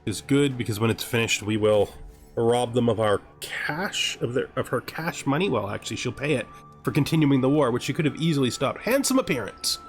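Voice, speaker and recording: A man hosts a let's play in a casual commentary style. His voice sits at a middling pitch, and he talks as if thinking aloud to himself. Game music plays behind his voice. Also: lively intonation, brisk.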